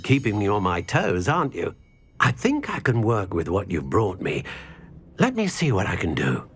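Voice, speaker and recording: An actor playing an elderly male wandmaker in a video game, measured, polite and full of wonder. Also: on the low side, wildly swooping pitch, a bit bright, a little hiss or hum, full character voice.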